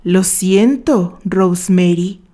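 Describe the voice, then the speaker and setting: A woman voicing an obsessed, bitter gentleman in a dramatic, anguished audiobook confession. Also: on the low side, slow.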